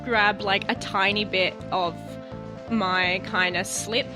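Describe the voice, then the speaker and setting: A woman's voice: mid-range voice, steady tone, takes it slowly, music in the background. A woman chatting casually as a player in a tabletop roleplaying podcast. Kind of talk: casual chat at an actual play game.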